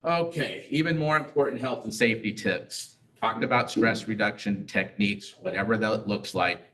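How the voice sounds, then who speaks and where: A middle-aged man with a lowish voice leads a relaxed community class. He chats through practical advice and personal stories, often checking in with a quick "right?". His voice sounds roomy and a little distant from the microphone. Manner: conversational, tells personal stories, asks rhetorical "right?". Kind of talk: casual educational talk. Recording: roomy echo, voice off-mic.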